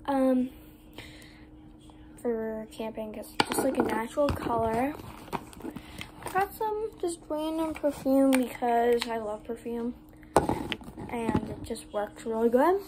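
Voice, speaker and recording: A woman's voice: a little high, slow, long pauses. A young girl vlogging from her bedroom, chatting in a rambling way full of "like" fillers while filming on a phone camera. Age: 11, child. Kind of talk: casual vlog chat. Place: bedroom, indoors. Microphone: phone camera on desk. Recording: noisy room ambience.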